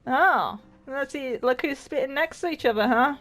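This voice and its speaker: Woman, gaming commentary, reactive, chatty, sweary, fairly high pitch, faint game music. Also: moderate pace, fairly bright tone. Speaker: gaming video creator. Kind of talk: casual let's play commentary.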